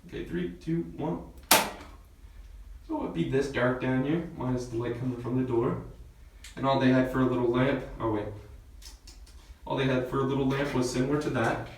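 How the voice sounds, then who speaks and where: A man's voice: mid-range voice, steady tone, normal speed, pauses often. Man guiding a tour, heavily echoing, distant. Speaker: mine tour guide. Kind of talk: informal guided-tour explanation. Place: inside a dark mine. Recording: very echoey mine, distant mic, faint noise.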